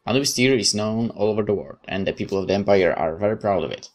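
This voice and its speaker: A man's voice: fairly low pitch, fast. A man reading a visual novel aloud as narration, dropping in casual asides of his own.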